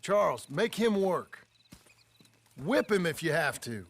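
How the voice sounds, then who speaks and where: An actor playing a gruff, exasperated American cowboy homesteader in a Western video game, speaking curtly and irritably. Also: male voice, on the high side, big pitch swings, slow, a little hiss or hum, full character voice. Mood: angry. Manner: curt, irritable.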